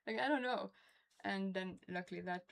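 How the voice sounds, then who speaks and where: A woman's voice: medium pitch, unhurried, vocal fry, slight background noise. A woman from Norway with a Norwegian accent, chatting casually on a game stream with a toothache, rambling and laughing often. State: has a toothache.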